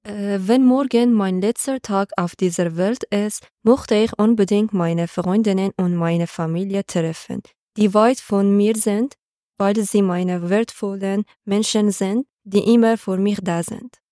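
A woman's voice: mid-range voice, unhurried, mellow, no room sound, studio quality. A woman from Afghanistan, a non-native speaker, taking part in a prepared podcast discussion. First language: not German. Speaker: podcast participant.

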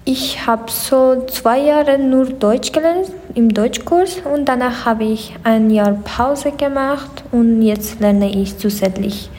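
A nineteen-year-old woman from Afghanistan, giving hesitant, halting answers in an interview. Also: fairly high pitch, mellow, big-room sound, a little hiss or hum, low-quality recording. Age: young adult. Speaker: interviewee, language learner.

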